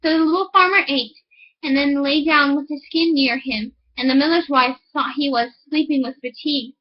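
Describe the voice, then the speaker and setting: A woman's voice: sits high, fairly even intonation, moderate pace, highs cut off. A woman reading a fairy tale aloud as scripted audiobook narration. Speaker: audiobook reader.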